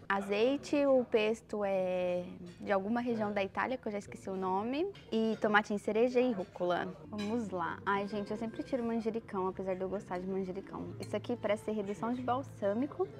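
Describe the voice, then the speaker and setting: A young woman with a Brazilian Portuguese accent, chatting to camera as a travel vlogger while tasting food in a restaurant, on a lapel mic. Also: mid-range voice. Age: young adult. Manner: chatty, tasting aloud with murmurs. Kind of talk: casual food vlog to camera. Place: restaurant terrace, indoors.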